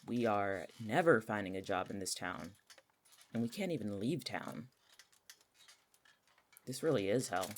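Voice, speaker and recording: Casting: male actor for jobless young cynic, superstitious and exasperated, quick to argue, in natural audio-fiction dialogue. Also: lowish voice, animated, background noise. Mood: sardonic, gloomy. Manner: sardonic, exasperated, argumentative.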